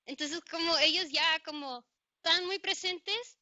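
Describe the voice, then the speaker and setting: A young woman in the audience poses a question, her talk chatty and loose and full of filler words. Her voice is high and very bright and sharp. The recording sounds dull and lo-fi. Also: unhurried. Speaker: audience member. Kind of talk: question from the floor.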